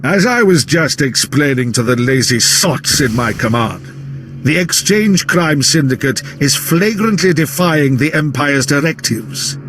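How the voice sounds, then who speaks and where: An actor playing a pompous, contemptuous Imperial military officer in a video game cutscene. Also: male voice, on the high side, lively intonation, unhurried, a bit bright, slight background noise. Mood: sneering, indignant. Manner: pompous, disdainful. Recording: orchestral game music behind. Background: game score.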